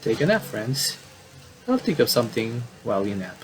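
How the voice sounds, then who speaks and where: A man voicing a worried, affectionate young sibling from a horror game in an earnest, halting way. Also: mid-range voice, sing-song, slight background noise, close to an everyday voice, low-quality recording. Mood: gently hopeful.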